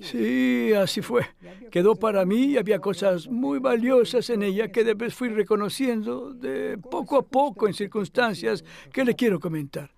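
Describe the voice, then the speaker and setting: A male voice actor dubbing an elderly, modest philosophy professor who reminisces in a measured, hesitant way in an interview. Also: very high-pitched, gravelly, darkish tone. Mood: reflective, reminiscing. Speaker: dubbed interviewee. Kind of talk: voice-over dub of a documentary interview.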